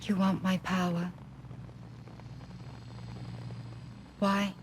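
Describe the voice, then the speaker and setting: A woman's voice: medium pitch, flat delivery, normal speed, slight background noise, lightly acted. An actress playing a lonely, wary young outcast woman in a cinematic video game, speaking in a guarded, hesitant way. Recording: loud music and rain underneath.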